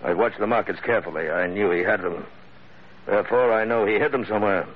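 An actor playing a suave middle-aged villain in an old-time radio drama, speaking in courtly, ornate sentences with polite menace. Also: male voice, fairly low pitch, natural voice. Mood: insistent, controlled. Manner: courtly, unhurried, ornate formal speech. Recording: muffled, phone-like old radio recording.

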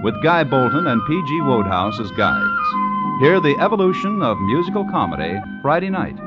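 A man's voice: fairly high pitch, sing-song, moderate pace. A man reading scripted announcements in a 1950s radio style. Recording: dull vintage radio recording with music. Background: music.

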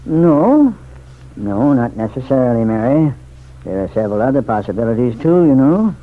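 An actor playing a folksy, weary and cautious small-town sheriff in a vintage radio serial, homespun and given to thoughtful hums. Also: male voice, mid-range voice, very animated, moderate pace, natural voice. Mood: cautious, doubtful. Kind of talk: 1940s radio serial acting. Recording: tinny, narrow vintage radio recording.

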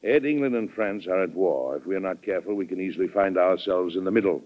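A man's voice: deep voice, fast, lightly acted. An actor playing a weary but firm, fatherly American president in an old-time radio drama, speaking earnestly with authority and occasional hesitation. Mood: measured, conciliatory. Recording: muffled vintage radio drama recording.

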